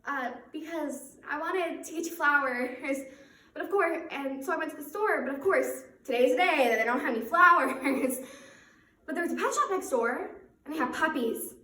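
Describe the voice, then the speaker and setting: A woman performing a theatrical monologue as a flustered, guilt-ridden young woman who apologizes in a halting, nervously rambling way. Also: high voice, expressive, sharp tone. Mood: flustered, apologetic. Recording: roomy echo, distant microphone.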